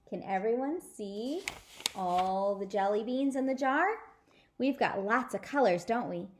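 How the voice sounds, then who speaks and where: Woman playing genial, measured storybook dad. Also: mid-range voice, a little hiss or hum, natural voice. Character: genial adult father hosting a children's party game.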